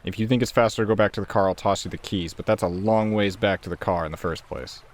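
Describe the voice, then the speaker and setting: Male tabletop player, fairly low pitch, loose conversational delivery. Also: fast. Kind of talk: casual game table talk.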